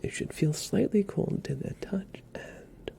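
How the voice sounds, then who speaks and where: A man speaks mostly in a soft, breathy whisper with noticeable fry. He plays a doctor in an ASMR exam roleplay, giving gentle, reassuring instructions in a soothing way. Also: sits high, a little slow. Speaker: ASMR performer playing a doctor.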